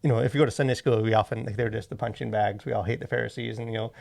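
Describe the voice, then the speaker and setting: A man in a casual discussion as a podcast co-host, talking in a rambling, halting way full of filler phrases. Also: lowish voice, fairly even intonation, creaky, darkish tone. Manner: rambling, halting, packed with "like" and "you know". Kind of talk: casual discussion of faith and culture.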